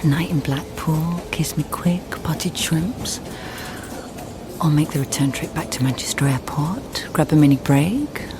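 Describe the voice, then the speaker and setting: A woman's voice: low-pitched, unhurried, noisy background. An actress playing a wry, guarded woman investigator in a naturalistic radio drama. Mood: wistful, brooding. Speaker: lead actor in a radio drama.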